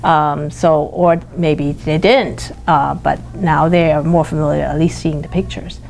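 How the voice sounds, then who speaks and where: A woman speaking as a teacher in an interview, explaining her classroom practice haltingly as she feels for words. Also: deep voice, fairly even intonation, gravelly, a little mellow, slight background noise.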